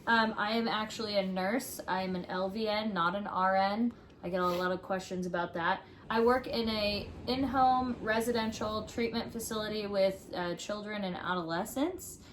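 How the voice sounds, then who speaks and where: A woman in her thirties co-hosts in an easy, chatty way, with teasing asides and plenty of fillers. Her voice sits at an ordinary middle pitch. Faint noise hums behind her. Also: fairly even intonation, moderate pace, hardly any pauses, poor audio. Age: 30s. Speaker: co-host of a couple's channel. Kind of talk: casual chat.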